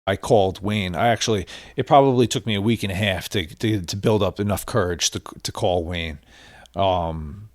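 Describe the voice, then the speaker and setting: A man interviewed about an old friend speaks informally and haltingly, using casual slang. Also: deep voice, no room sound, clean studio sound.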